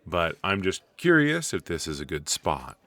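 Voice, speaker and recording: Deep-voiced, rambling, flirty coffee date played by a man. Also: sing-song, full character voice. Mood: flustered, playful.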